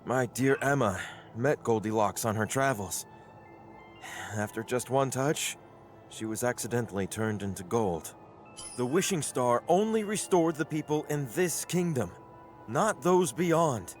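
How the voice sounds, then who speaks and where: A man's voice: medium pitch, expressive, measured pace, faint music behind, natural voice. An actor playing a desperate, earnest man pleading for a cure for his beloved in a video game. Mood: sorrowful, earnest. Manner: urgent, pleading, emotive. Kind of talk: dramatic video game acting.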